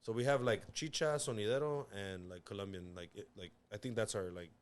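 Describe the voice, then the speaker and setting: A man's voice: lowish voice, a little fast. A man chatting casually as a podcast guest and band member, laid-back, peppering his talk with swearing and "like". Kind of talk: casual chat.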